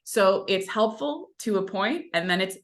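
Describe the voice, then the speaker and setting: A woman's voice: mid-range voice, measured pace. A woman actor, a native Italian speaker, chatting as an interview guest and telling stories with lots of "like". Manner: chatty, animated storytelling with many "like" fillers. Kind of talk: casual interview chat.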